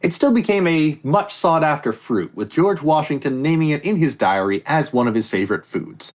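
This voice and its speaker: A man's voice: on the high side, expressive, moderate pace. A man hosting a casual comedy podcast quiz, reading statements aloud and joking off on tangents. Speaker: quiz host.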